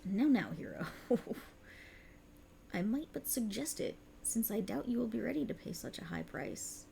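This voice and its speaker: A woman's voice: on the high side, big pitch swings, unhurried, warm tone, slight background noise, heavily acted. A woman voicing a cruel, seductive otherworldly patron in a condescending, theatrical ASMR roleplay monologue. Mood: teasing, coaxing. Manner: condescending, taunting, theatrical, with breathy chuckles. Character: cruel, haughty otherworldly warlock patron, a seductive dark deity.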